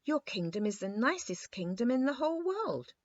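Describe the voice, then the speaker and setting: A woman's voice: fairly high pitch, very animated, normal speed, dry recording, muffled recording, pitched above the performer's own voice. A woman voicing a spirited, frank, cheerful little girl in a children's storybook reading. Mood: insistent.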